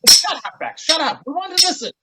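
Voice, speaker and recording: An actor playing an idealistic, excitable young poet in a radio drama, earnest and theatrical with halting phrasing. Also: male voice, very high voice, very noisy. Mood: irritated.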